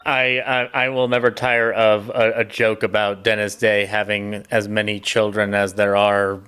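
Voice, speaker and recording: A man's voice: lowish voice, flat delivery, moderate pace, a bit bright, clear, resonant tone. A man interviewer chatting casually with a guest. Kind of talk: casual chat.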